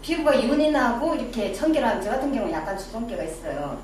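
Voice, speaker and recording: A woman's voice: fairly high pitch, lively intonation, fast, a crowd in the background. A middle-aged woman with a Daegu Gyeongsang accent gives a casual classroom lecture on personal image. She quizzes her audience and adds self-deprecating asides. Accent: Daegu Gyeongsang dialect. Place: lecture room with a small audience. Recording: very echoey room, distant mic, background noise.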